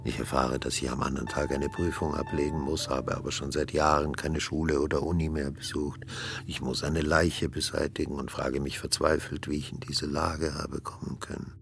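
A man's voice: extremely low pitch, level tone, normal speed, gravelly, a little hiss or hum. An actor playing a wordy, ironic man brooding over his own thoughts in a radio play monologue. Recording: loud music under the voice. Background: music.

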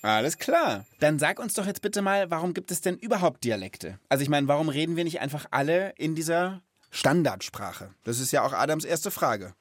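Animated, bouncy male show host. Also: fairly high pitch, moderate pace, few pauses, no echo, studio quality. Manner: animated, joking, asks eager questions. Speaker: host of a children's explainer show. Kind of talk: lively, playful explaining to a child. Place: Munich.

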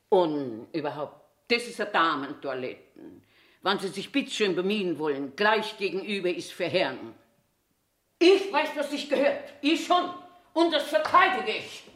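A woman's voice: medium pitch, fairly bright tone. An actress in her eighties playing a cranky, eccentric old toilet attendant in a theatrical monologue, querulously talking to herself in a Bavarian accent. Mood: muttering, prim. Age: 80s. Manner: self-talking, querulous, emphatic. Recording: echoey room sound.

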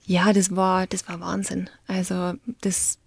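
A young woman in her twenties with a Bavarian accent, chatting reflectively as an interview guest, often trailing off softly and laughing easily. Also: fairly low pitch, monotone, measured pace, creaky, slightly rough, no echo. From Lower Bavaria, Germany. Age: 20s. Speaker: guest, Paralympic athlete. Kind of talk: casual interview talk.

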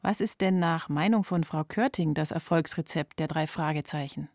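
A woman's voice: deep voice, moderate pace, darkish tone, no room sound, clean studio sound. A woman reading scripted documentary narration as a feature reporter.

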